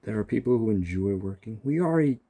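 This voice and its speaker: Dark-voiced, sarcastic game streamer. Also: mid-range voice, animated, fast talker, slightly rough. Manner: sarcastic, talks back to the characters. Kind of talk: casual gameplay reaction commentary.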